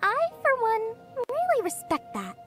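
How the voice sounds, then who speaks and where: Smug, high, swooping little merchant girl. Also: wildly swooping pitch, a little slow, fairly bright tone, soft background music, full character voice. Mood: smug, boastful. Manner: theatrical, emphatic, self-important. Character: greedy, boastful little girl merchant, smugly self-satisfied. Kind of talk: video game character voice line.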